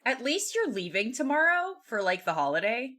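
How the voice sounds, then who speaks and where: A woman's voice: fairly high pitch, very animated, moderate pace, bright, forceful tone. A woman co-hosting a podcast, chatting casually in a playful, giggly manner. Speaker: podcast co-host. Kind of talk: casual chat.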